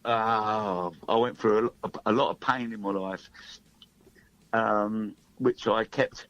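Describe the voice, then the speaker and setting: A man's voice: fairly low pitch, steady tone, slow, a bit bright, lo-fi sound. A middle-aged man, a singer-songwriter guest, chatting casually in a radio studio interview.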